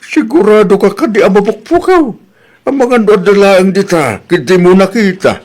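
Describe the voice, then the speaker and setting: Male actor as older husband, Ilocano accent, swooping pitch, skeptical, blunt, exasperated, comedy drama. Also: animated, normal speed, darkish tone.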